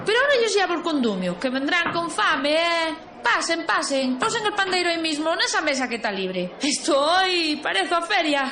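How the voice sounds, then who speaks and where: Rustic Galician waitress, very high swooping voice, folksy, bossy rambler, radio comedy. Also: female voice, sing-song, normal speed, hardly any pauses, roomy sound, noisy background, heavily acted. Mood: chatty, welcoming. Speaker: actress. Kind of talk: comic radio soap opera acting.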